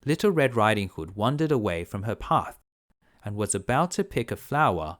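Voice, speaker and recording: Expressive man reading a bedtime story. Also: fairly low pitch, normal speed. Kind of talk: bedtime story narration for children.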